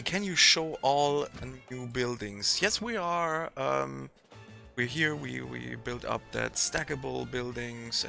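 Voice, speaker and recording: A man hosting a casual livestream and reading out viewer comments in a halting, hesitant way. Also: mid-range voice, creaky, pushed and bright.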